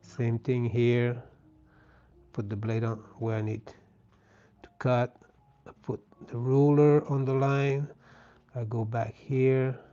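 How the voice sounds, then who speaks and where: A man with a French Canadian accent, a native French speaker, chatting gently and casually as he hosts a live craft stream. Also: medium pitch, flat delivery, takes it slowly, long pauses. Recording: dull, lo-fi sound.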